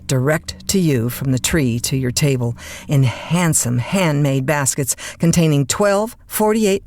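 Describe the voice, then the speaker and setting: Flustered, brash overnight radio disc jockey. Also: female voice, low-pitched. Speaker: radio host. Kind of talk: radio drama acting, old-time radio patter.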